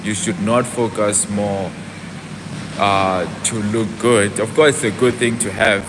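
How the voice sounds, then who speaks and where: A young man talks casually on a fitness livestream, his voice sitting on the lower side. He keeps breaking off to answer viewer comments before picking his thought back up. A clear hum of air conditioning and passing vehicles runs underneath. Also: steady tone, a little slow. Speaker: fitness vlogger.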